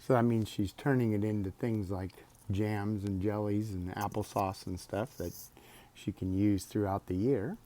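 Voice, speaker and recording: A man reading a children's picture book aloud expressively, drawing out words, pausing and adding casual asides. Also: fairly low pitch. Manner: expressive, with drawn-out words and pauses. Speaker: storybook reader.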